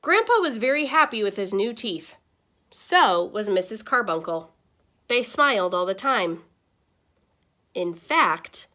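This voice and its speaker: Expressive woman reading a children's storybook. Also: mid-range voice. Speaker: teacher reading aloud to pupils.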